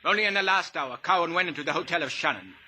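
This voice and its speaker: An actor playing a crippled, servile ex-diver with wounded dignity, speaking florid broken English in a 1950s radio drama. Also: male voice, a little high, lively intonation, quick pace, sharp tone. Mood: bitter, servile. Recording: noisy old radio recording.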